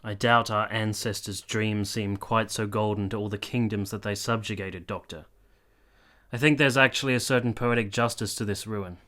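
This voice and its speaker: A man's voice: fairly low pitch, steady tone, moderate pace, bright, forceful tone. An actor playing a cynical, bitter soldier captain in his late twenties in a period radio drama, dry and argumentative. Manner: dry, argumentative, matter-of-fact.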